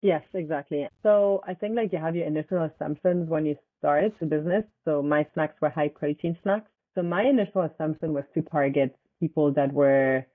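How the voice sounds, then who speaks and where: A young woman from Switzerland, a startup founder, answering interview questions in a loose, halting way full of fillers. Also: sits low. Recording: tinny phone-like line.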